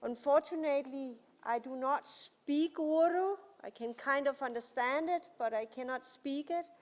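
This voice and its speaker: A woman with a Danish accent gives a formal speech in a large conference hall, her voice fairly high and her delivery slow and careful. Long reverb trails each phrase, and she often pauses with small fillers. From Denmark. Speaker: guest speaker.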